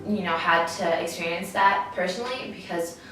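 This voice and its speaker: Bright-voiced teen girl, distant echo. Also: fairly low pitch. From Ecuador. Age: teens. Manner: halting, fillers. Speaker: interviewee. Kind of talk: reflective interview answers. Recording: echoey room from far off, faint music.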